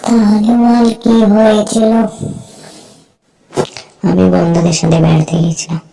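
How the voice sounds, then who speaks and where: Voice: mid-range voice, measured pace, gravelly, warm tone, a little hiss or hum, poor audio. A performer voicing a young village woman lost in a jungle, grateful and insistent, in amateur cartoon dialogue. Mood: earnest.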